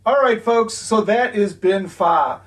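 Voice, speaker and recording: A man with a high voice hosts a board game review, loose and easygoing, with plenty of fillers as he talks. He sounds some way off from the microphone. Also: slow pace. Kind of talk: casual on-camera review intro and wrap-up. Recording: voice picked up from a distance.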